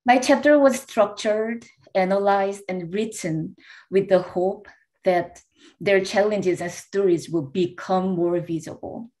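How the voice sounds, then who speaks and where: A woman in her thirties whose first language is Korean, reading prepared panel remarks in a measured, formal way over a video call. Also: on the low side, a little mellow. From South Korea. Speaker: panelist, researcher.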